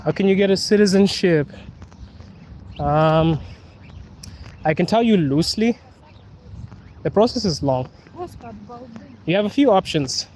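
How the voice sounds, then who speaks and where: A young man from Zimbabwe chats on a phone stream as he walks a city street, his voice sitting a little high. His sinuses are bothered by pollen, yet he stays loose and laughs easily. Faint traffic murmurs in the background. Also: a little slow, pauses often. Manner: relaxed and chatty, laughs often, drawls some words. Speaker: host. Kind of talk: live Q&A stream while walking.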